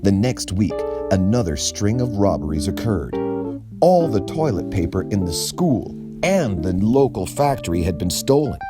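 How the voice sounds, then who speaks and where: A man reading a children's book aloud as a storyteller in a small bookshop, in a slow, expressive way. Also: on the low side, over music.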